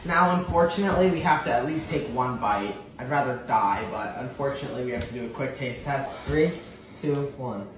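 A man's voice: medium pitch, a little fast. Teasing young man in an echoey kitchen. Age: young adult. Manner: teasing, giving instructions. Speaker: vlogger. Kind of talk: casual chat. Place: home kitchen, indoors. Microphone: far camera. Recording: echoey kitchen from far off, background noise.

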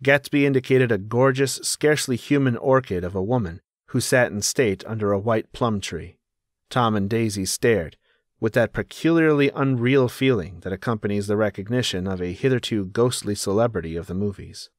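A man's voice: fairly low pitch, normal speed, no room sound, studio quality, lightly acted. A man narrating a literary audiobook as a reflective, observant young narrator.

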